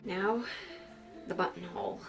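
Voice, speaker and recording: A woman's voice: on the low side, sing-song, measured pace, creaky, loud music behind the voice. A woman hosting a sewing vlog in a chatty, jokey, self-deprecating way, moving between casual asides and scripted narration. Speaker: sewing vlogger and host.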